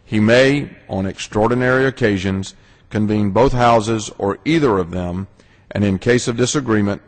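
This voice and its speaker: A man's voice: on the low side, normal speed. A man reads a legal text aloud in a formal style, as a legislator. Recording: reverberant large legislative chamber.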